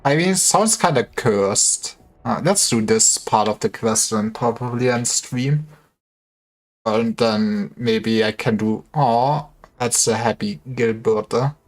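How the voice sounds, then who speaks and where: A man's voice: medium pitch, takes it slowly, rough recording. A man giving casual gameplay commentary on a livestream, musing wryly to himself. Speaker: game livestreamer.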